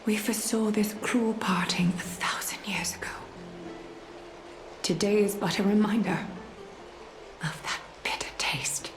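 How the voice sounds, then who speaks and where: Actress as a solemn regal leader, echoing. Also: female voice, mid-range voice, steady tone, whispered, natural voice. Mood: solemn, hushed. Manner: measured, formal, oracular. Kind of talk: dramatic video game voice acting. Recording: echoey, with music underneath.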